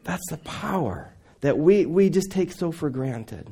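A middle-aged man who grew up in Indonesia, preaching a sermon with dramatic swings between loud emphasis and near whispers. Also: fairly high pitch, animated, a little slow, slightly rough.

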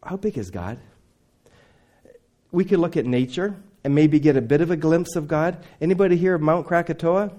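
A middle-aged man who grew up in Indonesia, preaching a sermon with dramatic swings between loud emphasis and near whispers. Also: fairly high pitch, moderate pace.

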